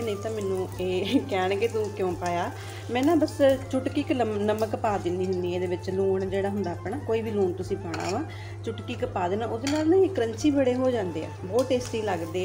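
An older woman from Punjab with a Punjabi accent, giving a chatty, homely cooking demonstration in her home kitchen and repeating herself for emphasis. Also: mid-range voice. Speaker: cooking vlogger.

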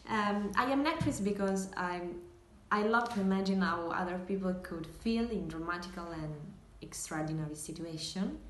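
Young Italian-accented actress, casual and halting intro, roomy sound with faint noise. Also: female voice, mid-range voice. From northern Italy. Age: young adult. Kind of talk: casual self-introduction to camera. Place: small indoor room, seated at table. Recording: echoey room, faint hiss.

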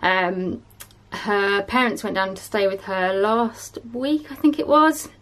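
A woman, chatting casually to camera at home and showing items, with lots of ums and a voice that is going a bit hoarse. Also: medium pitch, slow pace, a bit bright. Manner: chatty, many ums, shows items one by one. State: losing her voice a bit. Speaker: vlogger. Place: small room at home, indoors.

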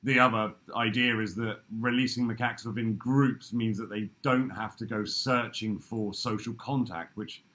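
Bright-voiced man giving a casual talk. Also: fairly low pitch, moderate pace, few pauses. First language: English. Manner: relaxed, loose and chatty with frequent ums. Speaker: workshop speaker. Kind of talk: casual presentation. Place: office room indoors. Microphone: laptop webcam video call.

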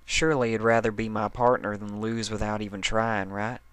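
A man's voice: lowish voice, flat delivery, normal speed, fairly bright tone, smooth, no echo, natural voice. A man voicing a friendly, teasing female farm pony with a folksy, coaxing manner in a story reading.

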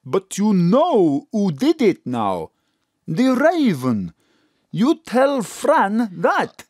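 Earnest, knowing mystic friend, hugely animated pitch, slow emphatic delivery, audio drama. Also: female voice, dry recording, full character voice.

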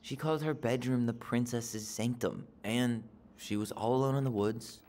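A man's voice: mid-range voice, moderate pace, a little mellow, natural voice. An actor playing a guarded, dryly sarcastic young man, terse and naturalistic, in a video game. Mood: reflective, dry. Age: young adult.

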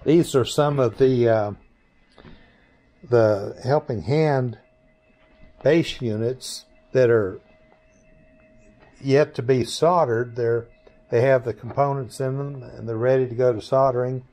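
An older man in his sixties or beyond, informally explaining and demonstrating products in deliberate, halting phrases with pauses. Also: mid-range voice, dark tone. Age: 60s or older. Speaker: maker presenting his 3D printed products. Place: small indoor workshop.

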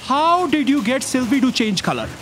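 A man's voice: very high-pitched, very animated, moderate pace, a bit bright, slight background noise, heavily acted. A man playing an eager, hesitant office assistant in a staged audio drama, deferential and inquisitive. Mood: hesitant, curious. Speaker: stage actor. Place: theatre stage, indoors.

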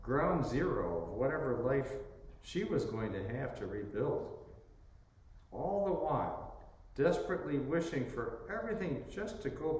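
Slow older man preaching in echoey church. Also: medium pitch. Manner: slow, deliberate delivery with lively rises and falls. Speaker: priest. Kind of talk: sermon read from a script. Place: church sanctuary by the organ pipes. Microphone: pulpit microphone on a stand. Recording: echoey church from a distant camera.

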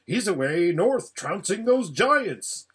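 A man voicing an easygoing, complacent little hedgehog from a storybook, chatty and folksy in an unhurried, rambling way. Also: sits high, sing-song, fairly bright tone, slightly rough, pitched above the performer's own voice, full character voice. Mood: placid chattiness.

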